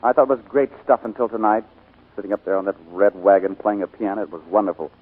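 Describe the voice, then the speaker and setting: An actor playing an earnest, proud young small-town songwriter in 1940s radio style. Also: male voice, fairly low pitch, quick pace, breathy edge. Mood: earnest, regretful. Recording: tinny scratchy 1940s radio broadcast.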